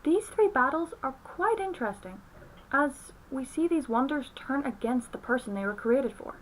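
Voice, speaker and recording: A young woman narrates a film review from a script. Her voice sits a little higher than most women's, and she speaks as the reviewer presenting commentary. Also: expressive, normal speed, a little hiss or hum, rough recording.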